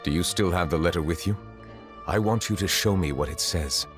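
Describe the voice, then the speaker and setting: An actor playing a grave, protective male judge in a video game, speaking in a formal, measured, serious way. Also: low-pitched, over music. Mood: grave, analytical. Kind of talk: video game character dialogue.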